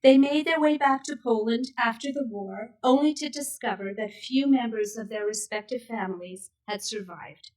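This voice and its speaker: A woman in her sixties from Canada, whose first language was Yiddish, reading a prepared speech at a memorial event in a measured, steady way. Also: fairly high pitch. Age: 60s. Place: synagogue event hall. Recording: voice picked up from a distance.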